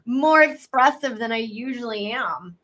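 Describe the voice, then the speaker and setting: A young American woman from Houston, a comedian chatting casually on a video call, soft-spoken and lively with lots of fillers. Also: fairly high pitch, a little slow, a loud crowd. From Houston, United States. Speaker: comedian guest. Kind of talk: casual chat.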